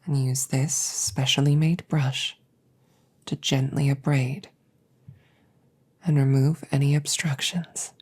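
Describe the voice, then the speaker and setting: A woman playing a calm, reassuring damaged female android in an ASMR audio roleplay, speaking in soft, breathy whispers with measured, formal phrasing. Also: extremely low pitch, heavily acted. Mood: calm, instructing. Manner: slow, measured, precise formal phrasing with pauses.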